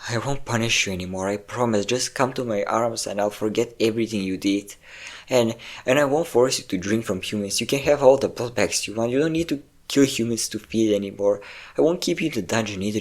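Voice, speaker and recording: A man's voice: on the low side, brisk, close to an everyday voice. A man playing a possessive, obsessive vampire lover in an intimate, dramatic roleplay audio drama. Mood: desperate pleading.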